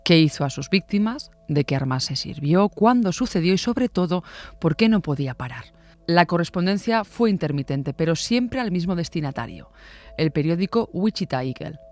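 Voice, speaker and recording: A man from narrating a true-crime podcast in a measured, scripted delivery. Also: fairly high pitch.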